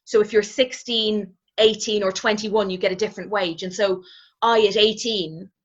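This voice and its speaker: A woman in her twenties with an Irish accent, a guest telling her story in a relaxed, reflective way and often hedging with "kind of". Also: medium pitch, normal speed. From Ireland. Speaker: interview guest. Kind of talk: casual conversational storytelling. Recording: slightly dull, muffled audio.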